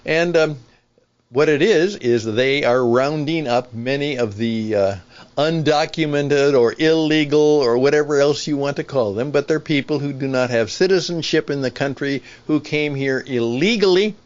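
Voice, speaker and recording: Older radio man, muffled recording. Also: fairly high pitch. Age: 60s or older. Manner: deliberate, emphatic, broken phrasing with repeated rewording. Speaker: podcast host, veteran radio broadcaster. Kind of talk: conversational solo radio commentary.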